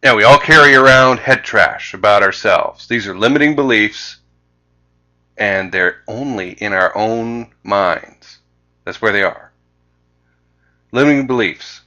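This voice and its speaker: Canadian guy doing a sales training talk, bright punchy voice, really emphatic and deliberate. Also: fairly low pitch. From Vancouver, Canada. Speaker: sales coach. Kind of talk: instructional slide presentation.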